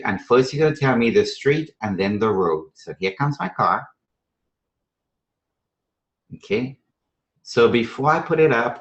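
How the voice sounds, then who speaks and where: An echoey room recording of a man presenting math teaching strategies to fellow teachers. His mid-pitched voice swings expressively up and down. He talks conversationally and with emphasis, posing rhetorical questions and checking in often with short tags. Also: muffled recording. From Trinidad and Venezuela. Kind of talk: live instructional presentation to teachers.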